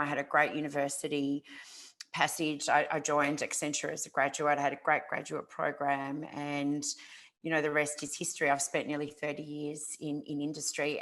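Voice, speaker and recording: A woman in her forties with a low voice, talking to an online audience from a home office over a call. She speaks as a startup founder, loose and chatty, circling back with fillers and restarts as she goes. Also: a bit bright. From Ukraine. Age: 40s. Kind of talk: conference talk over a video call.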